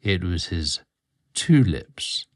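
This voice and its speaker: Male story host, lowish pitch, dry sound, dramatic and sensual scripted read. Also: sing-song, very slow, no echo. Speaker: guest host and narrator.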